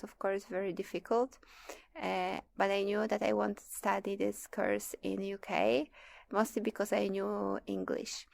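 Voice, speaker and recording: A woman in her twenties with a Polish accent and Polish as her first language. Her voice sits at an average pitch and carries a strong gravelly creak. She talks conversationally, telling personal stories as a guest being interviewed. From Poland. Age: 20s. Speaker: interview guest, PhD student.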